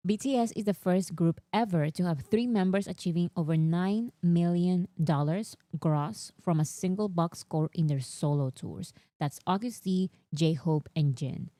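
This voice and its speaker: A young woman hosting a casual chat with a strong non-native English accent, talking in an excitable, slightly whiny way. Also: fairly low pitch, no echo. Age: young adult. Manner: excitable, whiny, talks fast about fandom news. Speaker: host.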